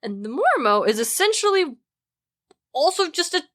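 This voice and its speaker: A woman's voice: sits high, very animated, moderate pace, fairly bright tone, no room sound, clean studio sound. A woman co-hosting a podcast, chatting casually and informally about mythology as she thinks aloud. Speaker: podcast co-host.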